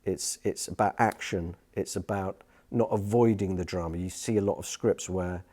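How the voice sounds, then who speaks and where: A man speaks in a dark, relaxed tone on the low side. He is conversational and emphatic, giving advice informally as a producer in an interview. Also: moderate pace. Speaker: radio drama producer giving writing advice.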